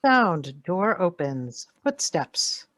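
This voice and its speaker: A woman's voice: fairly low pitch, big pitch swings, drawn-out words, a bit bright, dry recording. A woman reading out script sound cues in short, clipped phrases. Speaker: sound-effects reader. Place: remote video call performance.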